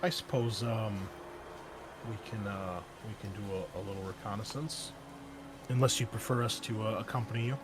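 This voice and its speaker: A man voicing a guarded, measured woman officer who talks in hesitant, halting phrases, in a tabletop game. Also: fairly low pitch, steady tone, noisy background, close to an everyday voice.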